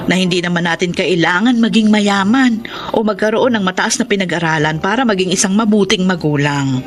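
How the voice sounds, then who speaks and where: An actress playing a loving, hardworking older mother and grandmother in a radio drama, speaking earnestly and gently. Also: medium pitch, natural voice. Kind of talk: radio drama acting and first-person narration. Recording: music under the voice.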